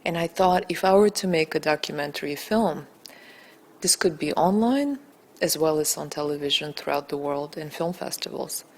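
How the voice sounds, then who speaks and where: Woman, native Bulgarian speaker, low pitch, earnest interview answers, deliberate, articulate, emphatic. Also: lively intonation. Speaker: documentary director and photojournalist interviewee.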